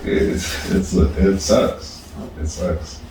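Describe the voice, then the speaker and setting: A man in his thirties co-hosting a podcast, talking in a relaxed, reflective way with many fillers and trailing sentences. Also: sits low, extremely slow. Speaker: podcast co-host. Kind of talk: casual reflective chat. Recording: roomy, distant mic with noise.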